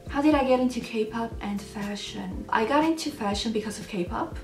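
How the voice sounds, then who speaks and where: A young woman from Switzerland hosting a casual Q&A vlog from her bedroom, talking to the camera in a chatty, slightly self-conscious way with pauses and restarts. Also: on the high side, roomy sound, recorded from a distance, loud music behind the voice, low-quality recording. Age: young adult. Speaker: channel host. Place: bedroom at home, indoors.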